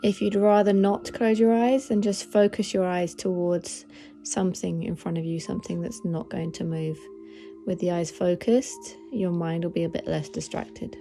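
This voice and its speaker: A woman leading a guided meditation in a gentle, soothing, unhurried manner of instruction. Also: on the low side, steady tone, soft background music. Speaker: meditation guide, podcast host.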